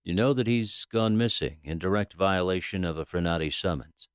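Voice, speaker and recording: An actress playing a stern, businesslike FBI agent in an audio drama, questioning in a formal, clipped manner. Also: female voice, extremely low pitch, normal speed, smooth, no echo, studio quality. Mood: probing, official.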